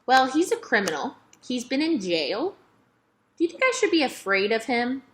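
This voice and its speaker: Woman acting young girl, children's book character, innocent, inquisitive, slightly hesitant, polite, lively intonation. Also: a little high, moderate pace, a little hiss or hum. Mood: worried, curious. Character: earnest, curious young girl, anxious and innocent. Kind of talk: children's novel read-aloud.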